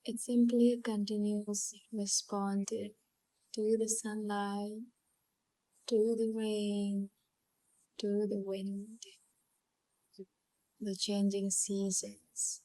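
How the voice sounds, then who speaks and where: A woman guides a relaxation exercise in a very slow, soothing voice. Her tone is soft-edged and mellow, with little melodic movement. She speaks in short hypnotic phrases and leaves long, calm pauses between them. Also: medium pitch, no room sound, rough recording. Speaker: hypnotherapy channel host and guide. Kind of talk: guided visualisation, gentle hypnotic storytelling.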